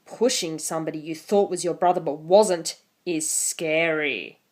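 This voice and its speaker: A woman's voice: low voice, steady tone, unhurried. A woman in her twenties hosting an online video, talking casually and reading a picture book aloud with theatrical emphasis. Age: 20s.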